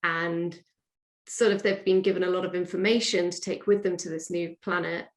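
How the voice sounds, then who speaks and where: A woman's voice: on the low side, little pitch movement, normal speed. A woman, chatting casually as a podcast guest and explaining things. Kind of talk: casual interview chat, explaining. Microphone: own microphone on remote call. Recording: roomy, echoey sound.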